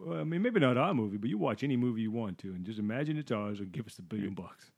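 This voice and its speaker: A man in an improvised comedy bit, hesitant and talking in quick restarts. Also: fairly low pitch, lively intonation, creaky, darkish tone, husky, lo-fi sound.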